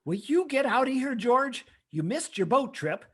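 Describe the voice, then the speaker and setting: Man playing an excitable, high-voiced small-town uncle. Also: moderate pace, slight background noise, full character voice. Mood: giddy, excited. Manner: excited, exclaiming. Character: excitable, good-hearted middle-aged small-town uncle. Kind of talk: old-time radio play acting.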